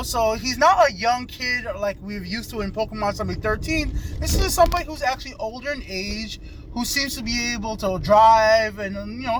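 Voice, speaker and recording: A man with a very high voice hosts a casual movie review. He talks in a chatty way and often looks to his companion for agreement. He is recorded inside a moving car, with light road noise around him. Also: poor audio. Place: inside a car while driving.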